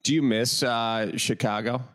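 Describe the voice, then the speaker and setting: A man in his late thirties from Wisconsin with a Wisconsin accent, hosting a podcast in an easygoing, rambling way with "um" and "uh" pauses. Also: mid-range voice, steady tone, fairly bright tone. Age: 30s. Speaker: podcast host. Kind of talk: casual podcast chat.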